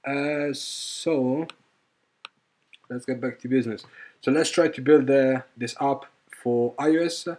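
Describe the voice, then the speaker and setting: A man with a medium-pitched voice presents an informal coding walkthrough. His delivery is hesitant and halting, as he explains steps while working through them. He often repeats a phrase before finishing it. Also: steady tone, a little mellow. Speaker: software tutorial presenter. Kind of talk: informal screen-recorded coding tutorial.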